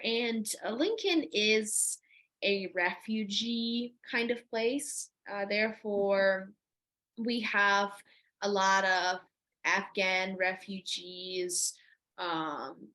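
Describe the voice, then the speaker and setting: A young woman with a Ukrainian accent speaks in a mid-range voice, answering interview questions. She explains things earnestly and carefully, pausing often and filling gaps with small fillers. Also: mid-range voice, sharp tone. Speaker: interviewee, university student. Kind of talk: conversational interview.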